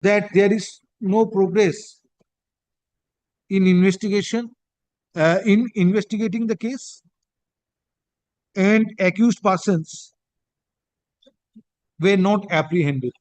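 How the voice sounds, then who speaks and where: A man with a Bengali Indian accent speaking as a judge, dictating a court order in halting, deliberate phrases and calling out punctuation. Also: high-pitched, darkish tone, dry recording.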